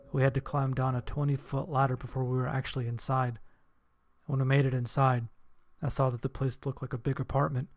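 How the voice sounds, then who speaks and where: A man's voice: medium pitch, a little mellow. Man narrating a horror story over music. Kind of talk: dramatic scripted narration in first person. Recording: music under the voice.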